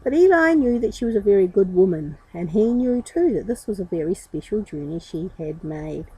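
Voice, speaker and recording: A woman's voice: mid-range voice, lively intonation, normal speed, mellow, husky, poor audio. A woman storyteller reading a children's story expressively, with informal asides.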